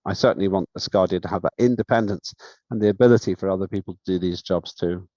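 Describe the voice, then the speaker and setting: A man with a deep, low voice chairs a parliamentary session, speaking in a measured, halting way and pausing often on fillers. His voice comes through a video call microphone. The sound is dull, lo-fi and very dry. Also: mellow, no room sound. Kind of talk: formal remarks at an online session.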